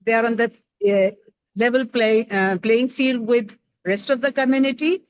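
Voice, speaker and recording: A middle-aged woman with an Indian accent talks through her views on a panel, slowly and thoughtfully, often pausing with fillers as she searches for the right words. Her voice sits a little high, and the recording is close and dry with no room sound. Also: fairly even intonation, smooth, no room sound, highs cut off. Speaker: roundtable panelist. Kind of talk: panel discussion, conversational.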